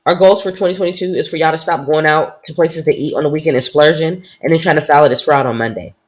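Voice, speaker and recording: A woman co-hosting a podcast, her voice husky and congested from a stuffy nose, chatting casually with sassy comebacks. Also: low voice, fast talker. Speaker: podcast co-host. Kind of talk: casual chat.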